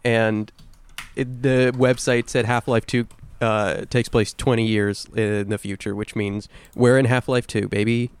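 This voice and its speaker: A man's voice: fairly low pitch, little pitch movement, a little slow, clear, resonant tone. A man co-hosting a podcast, chatting casually about video games and swearing freely. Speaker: podcast co-host.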